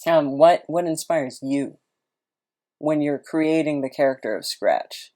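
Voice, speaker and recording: A woman's voice: low voice, unhurried, mellow. A woman podcast host chatting in a casual, rambling, self-deprecating way.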